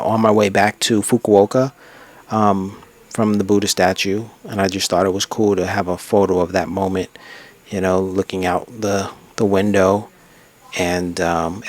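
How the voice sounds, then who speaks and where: A low-pitched male travel vlogger talks over his own pictures at a desk in a room. His delivery is unscripted and reflective, wandering casually from one memory to the next. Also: little pitch movement, a little slow. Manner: rambling, reflective, reminiscing. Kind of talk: casual unscripted slideshow narration.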